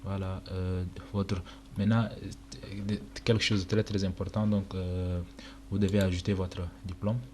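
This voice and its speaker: A young man from Chad whose first language is Arabic, casually talking through a screen-recorded walkthrough with many pauses and fillers. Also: low-pitched, little pitch movement, mellow. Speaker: tutorial presenter. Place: indoor room at a computer. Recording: noisy home recording with keyboard clicks.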